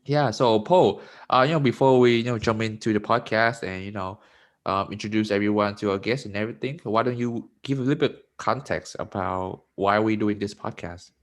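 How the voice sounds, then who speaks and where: A man's voice: lowish voice, moderate pace. A man hosting a podcast in an upbeat, casual way, often saying "you know". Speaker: podcast host.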